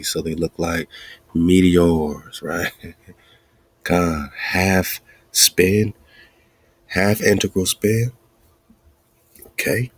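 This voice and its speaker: Casting: deep, gravelly male podcast host, rambling and excitable, husky tone, dry close sound. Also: no echo. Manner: excited, rambling, laughs often, wordplay. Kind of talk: casual commentary.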